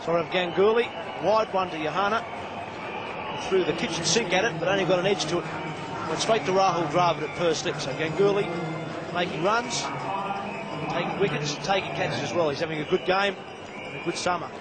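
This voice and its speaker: A man giving steady, descriptive live cricket commentary. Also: high-pitched, a little fast, very noisy. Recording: broadcast commentary over loud stadium crowd.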